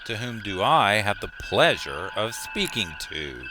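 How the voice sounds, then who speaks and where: Deep-voiced, commanding starship captain played by a man. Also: very animated, unhurried, background noise. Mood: formal, pressing. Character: commanding, firm male starship captain, earnest and impatient. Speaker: starship captain in audio drama. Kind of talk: dramatic sci-fi audio drama acting. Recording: music bed behind the voice.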